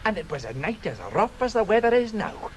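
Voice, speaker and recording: A Scottish local spinning a tale in a radio drama. His pitch rises and falls dramatically as he ponders and hesitates. There is distinct noise behind him. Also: big pitch swings, fairly quick, highs cut off. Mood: animated. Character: Scottish local man, wry teller of curious tales. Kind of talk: British radio drama acting.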